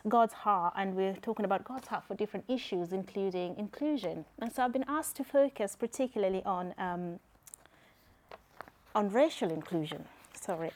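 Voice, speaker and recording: A woman with a Kenyan accent giving a conference talk in a church and running a word game with the audience, teasing and laughing often. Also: mid-range voice. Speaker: conference speaker. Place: church hall with live audience.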